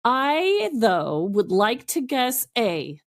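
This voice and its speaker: Animated woman with a high voice. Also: extremely slow, a little hiss or hum. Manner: animated, jokey reactions. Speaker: podcast co-host. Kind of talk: casual comedic chat.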